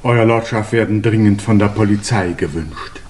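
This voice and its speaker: Man doing formal butler type servant, lowish, deferential, crackly noisy old radio play. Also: moderate pace, lightly acted. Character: deferential manservant to an English lord. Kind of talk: 1950s German radio play acting.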